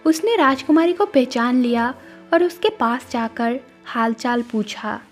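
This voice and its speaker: A woman narrates a cartoon moral tale in a high voice. It is scripted storytelling, with faint music playing behind her. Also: animated, unhurried.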